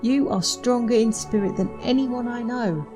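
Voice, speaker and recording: An actress playing a gentle, weary young wife in an audio drama, earnest, cautious and soft-spoken. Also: female voice, a little high, moderate pace, soft background music, close to an everyday voice. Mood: earnest, reassuring. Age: young adult.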